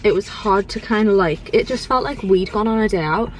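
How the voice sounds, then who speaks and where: A woman's voice: mid-range voice, a little fast, noisy background. A woman vlogging in a casual, opinionated way, repeating points for emphasis. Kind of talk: casual vlog chat. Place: inside a car.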